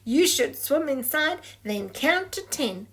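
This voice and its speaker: Woman playing a tiny storybook fish, higher voice, kind, upbeat, encouraging, unhurried. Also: big pitch swings, a bit bright, full character voice. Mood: encouraging, confident. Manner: encouraging, reassuring.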